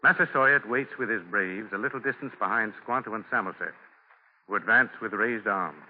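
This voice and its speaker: A man's voice: fairly low pitch, a little hiss or hum. Solemn male narrator, tinny old radio. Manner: solemn, storytelling, deliberate phrasing. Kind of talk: 1940s scripted radio narration. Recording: tinny 1940 radio recording with music.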